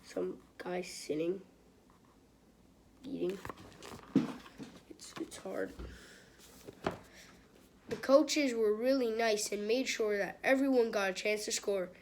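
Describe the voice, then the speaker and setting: A woman reads aloud casually from a children's book, with some noise and clicking in the recording. Her reading stumbles here and there, and she slips into informal, exclamatory commentary. Her pitch sits in the middle range for a woman. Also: slow pace, pauses often. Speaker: amateur reader of a children's book. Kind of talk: casual read-aloud with chatty asides. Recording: clearly noisy home recording with clicks.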